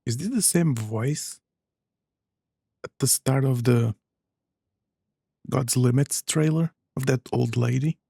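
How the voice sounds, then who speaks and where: A man giving casual, low-key, musing commentary as a gameplay streamer. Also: slow pace, gravelly, pauses often, mellow, breathy edge, no room sound, clean studio sound. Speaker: streamer playing and reacting to a game.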